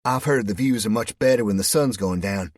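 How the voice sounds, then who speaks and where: A man's voice: fairly low pitch, a little fast, no echo, clean studio sound, voice pushed up, lightly acted. A man voicing a sassy, sarcastic woman with a West Virginian accent who teases with pet names. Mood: teasing. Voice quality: drawling, sarcastic, teasing.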